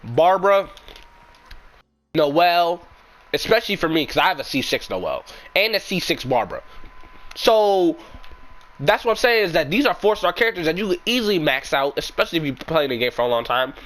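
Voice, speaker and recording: A young man with a sick, raspy voice, giving frank, rambling opinions as a gaming YouTuber in casual commentary. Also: a little high, big pitch swings, brisk, a bit bright.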